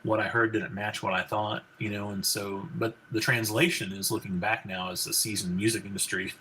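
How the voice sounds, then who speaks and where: A man in his forties with a baritone voice, a physician and country singer talking as an interview guest, rambling with many fillers and restarts. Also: little pitch movement, brisk, pushed and bright, a little hiss or hum, poor audio. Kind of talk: casual interview conversation.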